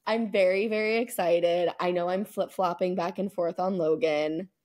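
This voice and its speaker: A woman's voice: on the low side, steady tone, normal speed, darkish tone. A young American woman co-hosting a podcast in casual, chatty talk over a video call, often repeating words for emphasis. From United States. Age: young adult. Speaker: podcast co-host. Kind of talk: casual chat. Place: indoor room at home, on a video call. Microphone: wired earbuds on a video call.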